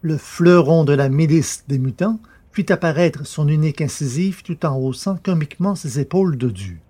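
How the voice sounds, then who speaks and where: A man's voice: fairly high pitch, animated, moderate pace, mellow, slightly rough. A man narrating a scripted science fiction story as the narrator of an audio drama.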